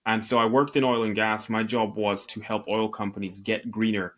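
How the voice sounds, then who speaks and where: A man's voice: on the low side, little pitch movement, normal speed, fairly bright tone. A man from Northeast Scotland with a Scottish accent, a podcast guest telling his story in a candid, self-deprecating, conversational way. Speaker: podcast guest, e-commerce entrepreneur. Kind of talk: conversational interview answers.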